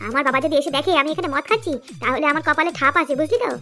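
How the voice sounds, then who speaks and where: A man's voice: very high-pitched, lively intonation, very fast, sharp tone, soft background music, heavily acted. A man voicing a rowdy, cocky village youth in a comic cartoon, with crude, slangy banter. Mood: panicky, irritated.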